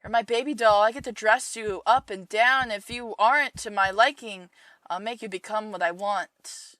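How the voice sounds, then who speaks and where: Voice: medium pitch, normal speed, sharp tone, dry recording, voice pushed up, lightly acted. A streamer voicing a controlling, possessive mother in a cold, demanding tone, raised above their own voice.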